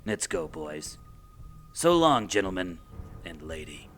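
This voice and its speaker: Man playing a low-voiced, smug adventure villain. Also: big pitch swings, slow pace, a bit bright, exaggerated voice. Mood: gloating. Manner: taunting, theatrical, gloating. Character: smug, menacing treasure-hunting male villain with henchmen. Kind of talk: adventure radio drama acting. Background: music.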